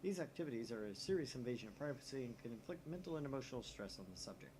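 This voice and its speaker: Male let's play host from the US: animated delivery, reads game text and comments casually, faint noise behind. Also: mid-range voice, rapid-fire. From United States. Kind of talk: casual reading aloud and commentary on a visual novel.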